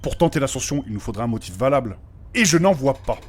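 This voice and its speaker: A man playing a formal, pedantic gentleman mage in a comic fantasy audio drama, speaking in precise, old-fashioned phrases. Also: mid-range voice, animated, fast, slight background noise, lightly acted. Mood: solemn, explanatory. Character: formal, learned, fussy gentleman mage companion.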